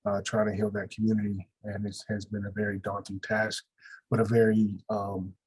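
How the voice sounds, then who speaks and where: Male counselor, husky, low, formal and sincere. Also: completely flat delivery, normal speed, a little mellow, poor audio. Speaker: counseling practice director. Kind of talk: casual self-introduction.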